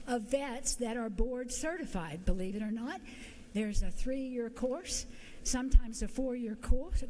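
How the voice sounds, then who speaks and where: A woman's voice: fairly high pitch, mellow, low-quality recording. A woman legislator presenting a bill from the floor in a loose, conversational way. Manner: conversational, loosely phrased explanation. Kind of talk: floor speech. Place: legislative chamber.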